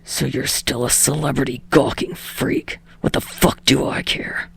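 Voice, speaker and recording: A woman voicing a foul-mouthed, hostile young man who talks in a curt, breathy growl, as in an audiobook. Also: a bass voice, steady tone, a little slow, no echo, voice pushed down, heavily acted. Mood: snarling. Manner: curt, venomous, snarling. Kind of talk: audiobook character dialogue.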